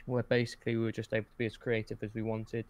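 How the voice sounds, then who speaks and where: A man's voice: fairly low pitch, monotone, rapid-fire. A man chatting casually as a podcast guest, hesitant and often correcting himself as he goes. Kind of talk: casual conversation.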